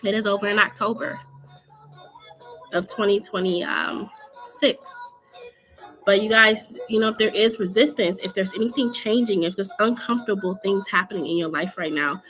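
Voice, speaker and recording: A woman's voice: medium pitch, normal speed, fairly bright tone, soft background music. A woman giving live tarot readings in a casual, chatty way, her voice scratchy from allergies, often checking in with "okay?" and laughing.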